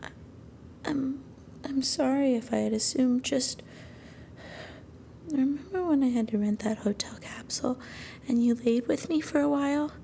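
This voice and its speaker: A woman playing a sweet, doting young anime girlfriend in a soothing ASMR roleplay, affectionate and gently coaxing. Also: high-pitched, normal speed, vocal fry, pauses often, a little hiss or hum. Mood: tender, unsure.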